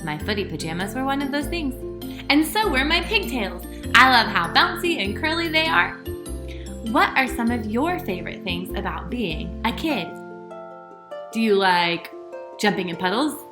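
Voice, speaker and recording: A woman pastor hosting storytime for young children, talking and reading aloud in an animated, sing-song manner straight to her listeners. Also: fairly high pitch, normal speed, reverberant, music in the background.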